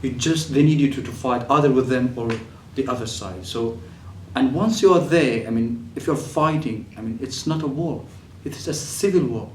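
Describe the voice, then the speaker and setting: A man's voice: mid-range voice, normal speed, hardly any pauses, slight background noise. Hesitant young man. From Syria. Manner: hesitant, many fillers and restarts. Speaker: refugee telling his story. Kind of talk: personal testimony. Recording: echoey room, mic at a distance.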